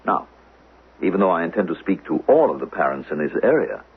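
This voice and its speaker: An actor playing an officious, probing teacher and suspected spy in a 1970s radio drama, formal and needling, with a husky voice. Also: male voice, low voice, big pitch swings, a little fast, exaggerated voice. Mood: insinuating, probing. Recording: narrow, phone-like old radio sound.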